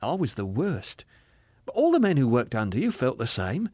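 A man voicing a joyful, forgiving young spirit in a dramatised audiobook, gentle and amused as he coaxes. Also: mid-range voice, huge pitch swings, moderate pace, breathy edge, no echo, studio quality. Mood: candid, serene. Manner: gentle, amused, lightly coaxing. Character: joyful, forgiving young male heavenly spirit, once a murderer.

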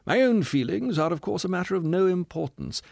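A male narrator plays a self-pitying gentleman who speaks in a stiff, dignified, injured tone. The voice rises high and prim with lively swoops of pitch. It sits close and dry. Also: brisk, gravelly, no echo. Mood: wounded.

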